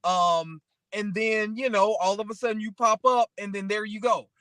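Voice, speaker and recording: A man's voice: high-pitched, fairly even intonation, normal speed, bright, forceful tone, no room sound. A man chatting casually and motivationally as a talk show co-host, rambling through anecdotes and often checking in with the listener.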